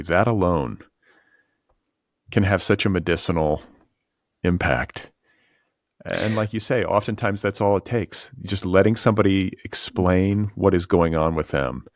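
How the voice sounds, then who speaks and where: Male American podcast host. Low-pitched, casual and reflective, carefully phrased, recorded dry. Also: no room sound. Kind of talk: casual interview conversation.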